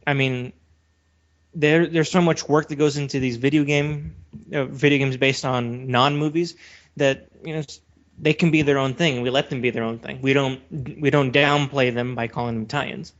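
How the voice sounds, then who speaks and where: A man's voice: mid-range voice, steady tone, moderate pace, a bit bright. A man co-hosting a podcast, discussing his opinions casually in an assertive, emphatic manner. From Western country. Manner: assertive, emphatic, steers the talk. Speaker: podcast co-host. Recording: dull lo-fi audio.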